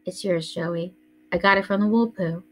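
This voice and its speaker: A woman voicing a troubled young boy who speaks softly and hesitantly, close to her own voice. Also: fairly low pitch, normal speed, faint music behind, close to an everyday voice. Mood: earnest.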